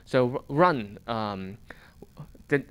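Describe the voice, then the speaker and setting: A man with a Hong Kong accent lectures a room of students in fairly smooth, resonant tones. His English is plain and conversational, broken by restarts and short chuckles as he works through his points. He sounds like someone in his thirties speaking off the cuff. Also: medium pitch, animated, drawn-out words. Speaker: fund manager giving a seminar talk.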